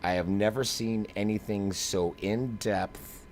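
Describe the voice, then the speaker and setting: A man's voice: fairly low pitch, steady tone, measured pace, a little mellow. A man giving live flight simulator demo commentary in a casual, slangy, emphatic way, like a streamer. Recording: clear background noise.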